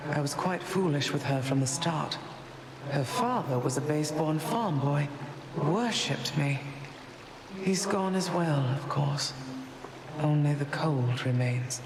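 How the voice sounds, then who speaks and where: Slow, echoing, sorrowful cold goddess. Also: female voice, low voice, animated, crowd noise, heavily acted. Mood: cold, mournful. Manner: slow, solemn, formal delivery. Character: cold, grieving goddess mother, slow and aloof. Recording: cavernous long reverb, background noise.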